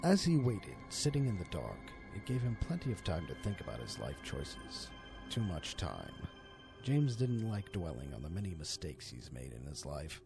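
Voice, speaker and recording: A man with a low, creaky voice narrates a horror tale. His delivery is theatrical and swoops widely in pitch, breaking for dramatic pauses. Music plays clearly behind him. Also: huge pitch swings. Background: music under the voice.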